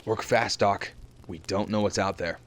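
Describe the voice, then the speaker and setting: A man playing a gruff older marine captain in an audio drama, giving clipped, commanding military orders. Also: on the low side, steady tone, moderate pace, a little hiss or hum, lightly acted. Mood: urgent. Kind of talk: fan audio drama acting.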